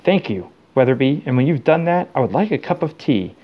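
A man's voice: mid-range voice, very animated, a little fast, dull audio. A man voicing a deluded older official who fluently and officiously gabbles orders to nobody. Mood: brisk, self-satisfied.

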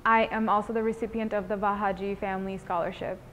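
A woman's voice: mid-range voice, flat delivery, fast, a bit bright, a little hiss or hum. A woman of about twenty from Bangladesh, speaks earnestly and articulately as a student giving an interview testimonial. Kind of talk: interview testimonial, semi-prepared talk. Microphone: lapel mic.

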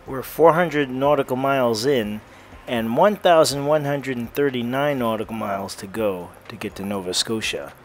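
A man's voice: mid-range voice, normal speed, slight background noise. A man in his thirties chatting casually to camera aboard a sailboat, with a playful habit of repeating words. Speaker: sailing vlogger. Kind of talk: casual first-person vlog chat to camera. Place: on a sailboat, in the galley and on deck.

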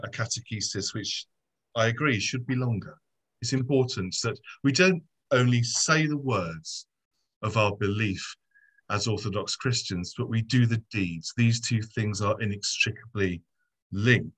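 Middle-aged man, low voice, earnest debater on a video call, measured with ums. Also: fairly bright tone, slightly rough, low-quality recording. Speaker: debater, subdeacon. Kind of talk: formal debate presentation. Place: home room. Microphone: video call webcam.

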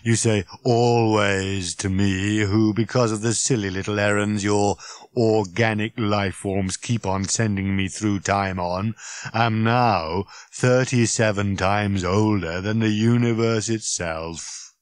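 A man voicing an ancient, worn-out, self-pitying robot in a story reading, with a hollow rasp and a groaning, droning complaint. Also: lowish voice, steady tone, slow, dry recording, clean studio sound. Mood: bitter. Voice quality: hollow, rasping.

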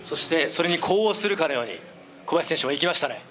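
A man's voice: a little high, expressive, fast, over music. A man politely putting questions as an interviewer at a press session. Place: small backstage press room, indoors.

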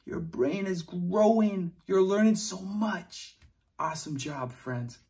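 A young man teaching young children, playful and animated, cheering them on and making sound effects while he reads a picture book aloud in a classroom. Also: sits high, slow. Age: young adult. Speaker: preschool teacher. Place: classroom with word cards on a board.

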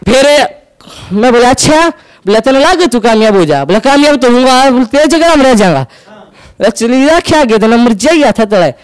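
A young man with a Haryanvi accent doing stand-up storytelling on an indoor stage into a handheld mic, acting out quick back-and-forth dialogue. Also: extremely high pitch, lively intonation, smooth, rough recording. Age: young adult. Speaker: stand-up comedian. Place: indoor stage with curtain backdrop.

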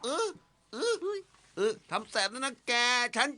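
A woman's voice: unhurried, pushed and bright, soft background music. A woman voicing a bullying, hot-tempered, demanding schoolboy in a Thai cartoon dub. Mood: pushy, threatening. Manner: brash, demanding.

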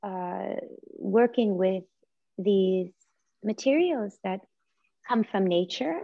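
A woman in her forties whose first language is Japanese, speaking as a visual artist on a video call, soft and reflective with many pauses and restarts. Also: medium pitch, gravelly, no echo. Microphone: laptop or phone camera on video call. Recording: muffled lo-fi video call audio.

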